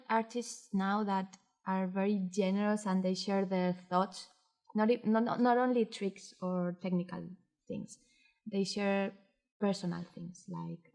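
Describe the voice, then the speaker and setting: A woman with a Spanish accent speaks slowly and reflectively in an interview. Her voice is soft-edged and mellow, staying fairly even in pitch. She often pauses and restarts as she looks for the right word. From Spain. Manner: hesitant, searching for words. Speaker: interviewee, animation director and animator.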